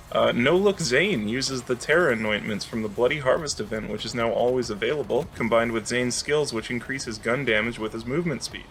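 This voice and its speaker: A man's voice: mid-range voice, a bit bright, a little hiss or hum. Man casually explaining a video game build. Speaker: gaming video creator.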